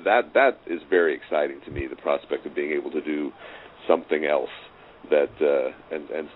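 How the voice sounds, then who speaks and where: A middle-aged man with a very low, deep voice talks casually as an interview guest. He repeats phrases for emphasis and tends to chuckle. The sound is narrow and phone-like, with some faint noise. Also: normal speed. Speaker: interview guest, audio drama writer and director. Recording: tinny phone-like line, clear noise.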